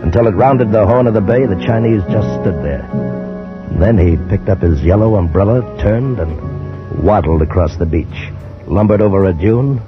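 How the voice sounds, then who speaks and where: A man's voice: lowish voice, normal speed, natural voice. A man playing an earnest adventurer who narrates his own tale in dramatic 1950s radio style. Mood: tense, descriptive. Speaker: first-person narrator and character. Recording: dull old radio recording, loud music.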